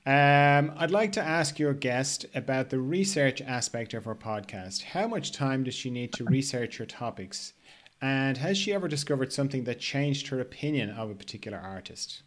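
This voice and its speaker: A man's voice: mid-range voice, lively intonation, normal speed. An older man, easygoing and playful, casually hosting an interview and reading out questions on a video call. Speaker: podcast host, interviewer.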